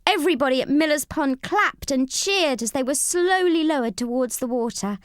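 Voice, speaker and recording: A woman narrating a children's storybook read-along in an expressive, scripted style. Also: sits high, normal speed, dry recording, studio quality.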